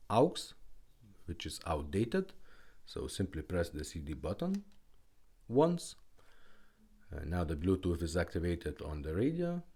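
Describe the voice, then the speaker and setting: A man's voice: deep voice, mellow. A man who speaks as a gives a casual, informal product demonstration with hesitant phrasing. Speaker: car radio restorer presenting a product.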